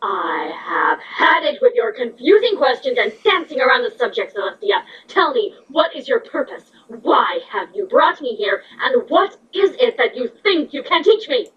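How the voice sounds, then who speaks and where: A woman playing a proud, hateful changeling queen in a fan audio drama, speaking imperiously and deliberately. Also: on the high side, sing-song. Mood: angry, defiant. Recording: roomy, distant, muffled, noisy microphone.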